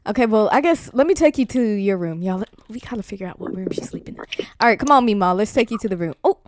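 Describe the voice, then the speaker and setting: A woman's voice: mid-range voice, expressive, fast, natural voice. A woman playing a reluctant, flustered granddaughter in an improvised game roleplay, slangy and repeating herself. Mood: awkward. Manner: slangy, dramatic, stammering repetition.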